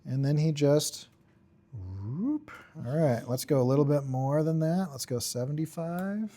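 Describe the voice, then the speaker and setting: A man giving an informal software tutorial, thinking aloud in short, offhand fragments as he works. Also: a little high, animated, a little slow. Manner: offhand, talks in short fragments while working. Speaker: tutorial instructor.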